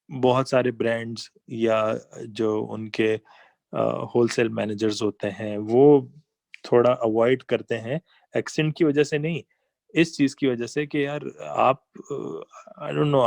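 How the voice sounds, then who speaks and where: A man's voice: medium pitch, gravelly, darkish tone, no echo. Man explaining in a dry close voice. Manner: conversational, explains with examples and asides. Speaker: online business trainer. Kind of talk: slide-based lesson voice-over.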